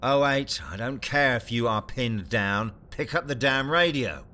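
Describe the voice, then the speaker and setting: A terse, authoritative male squad leader barks orders in an audio drama. His voice sits at a medium pitch, set against clear battlefield and vehicle noise. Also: a little slow, bright, forceful tone. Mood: commanding, tense. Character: commanding male squad leader of a clandestine task force.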